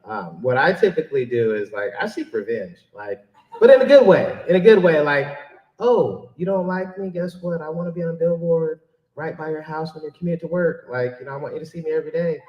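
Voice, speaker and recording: A man's voice: on the high side, a little fast, dull audio. A man giving an informal, joking and self-deprecating audience Q&A talk as a keynote speaker and author. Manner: joking, self-deprecating, animated when quoting himself. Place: public library event room. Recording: echoey room, mic at a distance.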